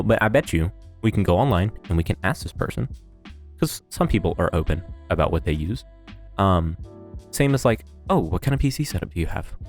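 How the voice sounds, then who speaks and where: A man casually critiquing audio setups in a blunt, rambling way, often restarting and repeating himself. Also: low-pitched. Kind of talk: casual opinion commentary.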